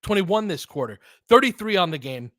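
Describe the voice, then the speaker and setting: A young man doing live play-by-play commentary, excitable, with quick asides and frequent laughs. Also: high voice, no echo. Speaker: fan play-by-play host.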